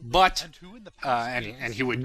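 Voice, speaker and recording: Bright-voiced young man doing casual commentary. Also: medium pitch, lively intonation, a little slow. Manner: self-deprecating, rambling, reflective. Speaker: creator of a fan parody series, commentator. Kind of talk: casual audio commentary on own work.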